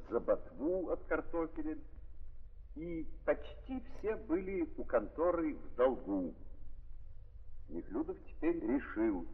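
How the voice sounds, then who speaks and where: A man narrating a 1930s radio drama in a theatrical, declamatory style with measured phrasing. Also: medium pitch. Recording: muffled 1930s recording, long reverb.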